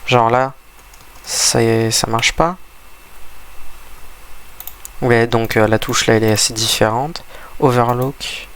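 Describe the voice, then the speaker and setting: A man's voice: medium pitch, fairly even intonation, takes it slowly, pauses often, a bit bright. A man with a cold and a stuffy voice, giving rambling, hesitant casual commentary over a game he is playing, often restarting his sentences. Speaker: gaming video creator.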